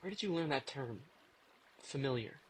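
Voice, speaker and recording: A man's voice: medium pitch, lively intonation, moderate pace, husky. A man playing a brooding, guilt-ridden vampire in an audio drama, terse and dryly wry. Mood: bleak, wry. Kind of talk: immersive audio drama acting.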